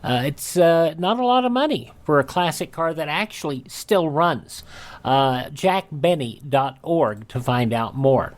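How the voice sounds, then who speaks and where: A man hosting a radio program, talking casually and haltingly as he introduces old shows. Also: a little high, expressive. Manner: halting, unscripted, pausing. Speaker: radio host.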